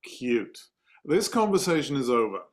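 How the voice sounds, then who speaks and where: A man's voice: medium pitch, big pitch swings, normal speed, natural voice. A man playing a stern, dismissive office boss with a curt, condescending manner in a dramatic table read.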